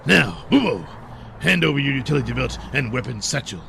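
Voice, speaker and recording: Gravelly bullying supervillain, sneering, swings in pitch, comedy audio play. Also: male voice, animated, a little fast, a bit bright, slight background noise. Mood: menacing. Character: menacing gun-waving male supervillain, sneering and cruel.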